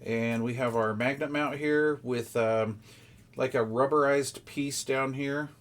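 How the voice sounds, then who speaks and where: A man's voice: mid-range voice, steady tone, slow pace. A man giving casual unboxing commentary as a product reviewer, in a rambling, folksy, conversational way.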